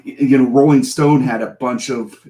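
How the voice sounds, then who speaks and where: A man's voice: mid-range voice, steady tone, normal speed, dark tone. A man in his forties, a comic creator guest on a podcast, telling a rambling, self-deprecating story and laughing often. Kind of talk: casual podcast interview.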